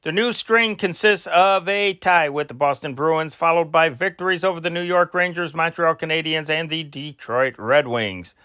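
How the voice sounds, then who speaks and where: A man with a fairly high, bright and forceful voice reads vintage sports news dispatches. His delivery is deliberate and announcer-like, with an occasional stumble. The sound is close and dry. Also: clear, resonant tone, no echo. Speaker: amateur news reader, channel host. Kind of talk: reading old newspaper sports dispatches aloud.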